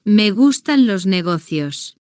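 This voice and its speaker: A woman reading a simple first-person story for language beginners, in slow, deliberate, evenly paced short sentences. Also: medium pitch, very animated, a little mellow, dry recording, studio quality. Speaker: language-learning narrator.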